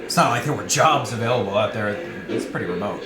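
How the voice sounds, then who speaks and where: A man's voice: fairly low pitch, expressive, fast talker, natural voice. A man reading the part of a flippant, wry dinner companion in a novel, in a dry conversational manner. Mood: matter-of-fact. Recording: roomy reading with music behind.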